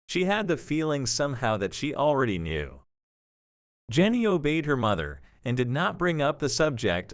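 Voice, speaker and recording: A man's voice: mid-range voice, a bit bright, no echo, clean studio sound. A man narrating a scripted story for an audio drama.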